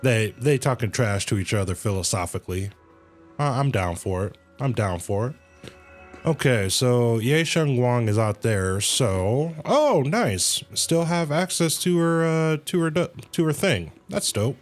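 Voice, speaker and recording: A man's voice: mid-range voice, animated, a little slow, soft background music. A man livestreaming a game with casual commentary in a laid-back, slangy way. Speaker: game streamer.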